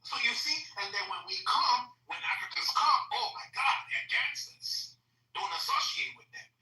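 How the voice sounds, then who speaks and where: Nigerian woman in her forties, animated. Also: sits high, normal speed. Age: 40s. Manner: emphatic, insistent, repeats herself while making a point. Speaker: discussion participant. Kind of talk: heated conversational debate. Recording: roomy echo, distant mic.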